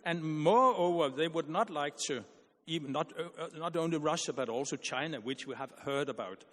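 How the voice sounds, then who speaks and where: A man giving an earnest, argumentative conference panel speech, halting with frequent restarts and repeated words. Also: on the high side, fairly bright tone, long reverb tail.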